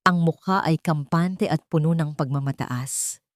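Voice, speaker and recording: A woman with a Filipino accent narrating a dramatic audiobook story in an expressive, theatrical way. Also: low-pitched, measured pace, no room sound, clean studio sound.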